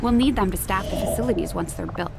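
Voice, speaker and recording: A woman's voice: medium pitch, fast talker, creaky, natural voice. An actress playing a practical, helpful female chief engineer in a video game, delivering scripted advice. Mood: practical, upbeat. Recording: loud game music behind.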